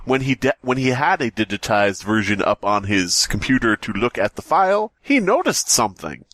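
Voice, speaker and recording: A man's voice: medium pitch, sing-song, normal speed, a bit bright, no echo. A man co-hosting a comedy podcast, reading stories aloud and tossing in wry, casual jokes. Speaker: podcast co-host.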